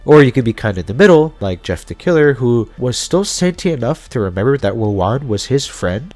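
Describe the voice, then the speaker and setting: A man's voice: fairly low pitch, a little mellow. A man giving casual explainer commentary as a video host, talking through theories in an informal, speculative way. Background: background music.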